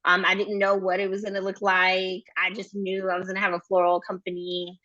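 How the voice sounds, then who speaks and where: A woman's voice: medium pitch, monotone, brisk, sharp tone, dry recording. Upbeat woman guest telling her story. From born in Nigeria, raised in Maryland. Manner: upbeat, laughs often, many "um"s. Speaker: podcast guest, floral entrepreneur. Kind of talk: casual interview storytelling. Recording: dull dry sound.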